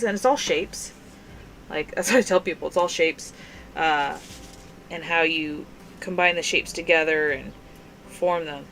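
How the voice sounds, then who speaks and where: A woman's voice: on the low side, a bit bright, slight background noise, poor audio. A young woman hosting a crafting stream, chatting casually and rambling with lots of fillers. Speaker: crafting channel host. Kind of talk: casual chat while crafting.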